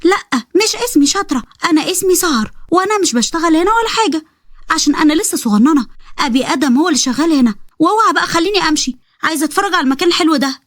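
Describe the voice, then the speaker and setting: A woman's voice: very high-pitched, expressive, very fast, a bit bright, no echo, studio quality, voice pushed up. A woman with an Egyptian accent voicing a chatty, innocent little girl who talks in a childish, pleading way.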